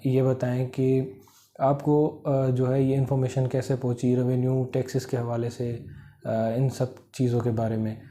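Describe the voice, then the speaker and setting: A man with a Pakistani accent giving an informal explanatory lecture, halting and repetitive, with many filler phrases. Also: mid-range voice, dark tone. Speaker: law lecturer. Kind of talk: informal explanatory lecture, Urdu mixed with English legal terms.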